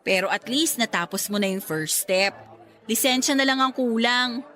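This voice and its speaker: A woman acting a sentimental young working woman who hopes to teach, earnest and expressive, in a radio drama. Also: fairly high pitch, normal speed, some chatter behind. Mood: proud. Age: young adult.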